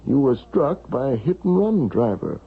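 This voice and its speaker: Earnest, clinical male doctor, gravelly and lively, 1970s radio drama. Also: medium pitch, exaggerated voice. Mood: reassuring. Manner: deliberate, clinical explaining. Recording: tinny, band-limited vintage radio recording.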